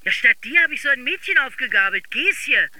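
An actress playing a brisk, exasperated young caller with a flippant, emphatic manner in a radio drama. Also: female voice, sits high, lively intonation, bright, forceful tone, full character voice.

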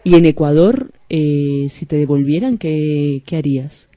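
A man's voice: on the high side, measured pace, mellow, slight background noise. A man asking questions conversationally as an interviewer on a radio programme. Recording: dull, muffled radio recording.

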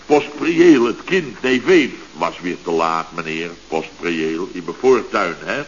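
A man's voice: deep voice, expressive, slow pace. An actor playing a bumbling, self-important male contractor boss in a radio comedy, stammering and repeating himself as he gives orders. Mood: pompous, plotting. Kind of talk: 1970s Dutch radio comedy serial acting. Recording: dull, noisy vintage radio recording.

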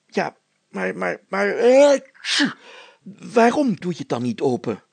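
A man's voice: sits high, sing-song, takes it slowly, creaky, slightly rough, no echo, pitched above the performer's own voice, heavily acted. A man voicing a pompous, flustered burgomaster in a story, with blustering, old-fashioned formal speech. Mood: flustered, indignant. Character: pompous, flustered male burgomaster and police chief.

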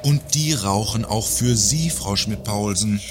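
An actor playing a pompous, irritable company director in a satirical radio play, condescending and pointedly polite. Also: male voice, lowish voice, unhurried, noisy background, lightly acted. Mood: smug.

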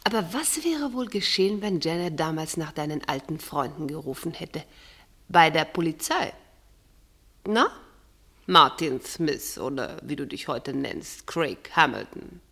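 An actress playing a shrewd, probing woman with an arch, deliberate manner in a German radio drama. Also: sits low, lively intonation, gravelly, fairly bright tone, heavily acted. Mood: taunting, accusing. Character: shrewd, needling woman exposing a man's secrets.

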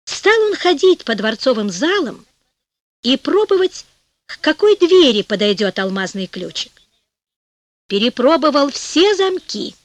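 Female audiobook narrator, high lively voice, slow storybook reading, dry studio sound. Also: no echo. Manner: lively, voices the fairies and birds with raised pitch.

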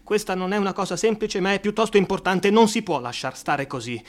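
A man's voice: sits high, expressive. An actor playing a worried, protective male friend in an Italian radio drama, earnest, insistent and theatrically emphatic. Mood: earnest insistence. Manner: theatrical, drawn-out emphatic words, urgent.

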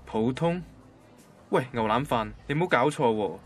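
A man's voice: medium pitch, expressive, moderate pace, soft background music, lightly acted. An actor playing an ordinary, literal-minded young male banker with a Hong Kong Cantonese accent, in a radio drama. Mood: defensive. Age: young adult. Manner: matter-of-fact, pedantic.

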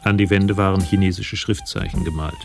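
A male narrator of a radio crime drama. His voice is very low with a gravelly creak, telling the detective story in scripted prose over music. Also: steady tone, a little fast. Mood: measured storytelling. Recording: music behind the voice.

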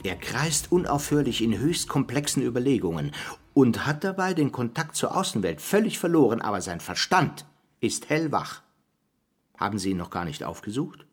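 A man playing a sardonic, evasive presidential candidate in his fifties in a radio drama, condescending and husky, fond of rhetorical questions. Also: medium pitch, big pitch swings, normal speed. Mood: insistent. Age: 50s.

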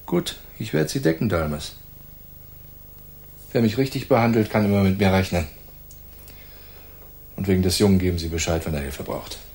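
A tough police detective, low and gravelly. He is gruff and cocky, in the manner of a noir radio drama. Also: animated, moderate pace, long pauses, a little mellow. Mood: gruff, swaggering. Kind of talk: German radio play acting, noir.

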